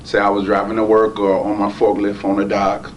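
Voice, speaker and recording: Guest, man, deep and distant, faint noise. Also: monotone, a little fast, muffled recording. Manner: affirming, echoes the questions. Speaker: guest, stroke survivor. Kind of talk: casual interview answers.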